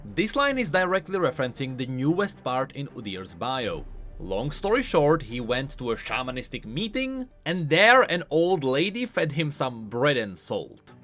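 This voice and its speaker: A man giving scripted, explanatory commentary as a video creator covering game lore, in an animated manner. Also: mid-range voice, moderate pace, soft background music.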